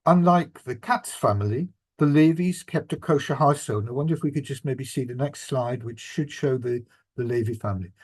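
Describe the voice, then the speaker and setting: An older man giving an illustrated family history talk as the presenter and author, speaking in a measured, reflective way with occasional fillers. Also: medium pitch, a little mellow.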